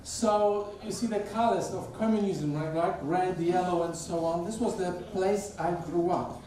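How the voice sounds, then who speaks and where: A man's voice: high voice, measured pace, mellow, distant mic, slight background noise. A middle-aged man from Poland with a Polish accent, giving an informal sermon as a guest speaker and chatting with the congregation. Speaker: guest speaker, theology professor. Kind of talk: conversational sermon. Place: church sanctuary. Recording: echoey church hall, picked up from afar.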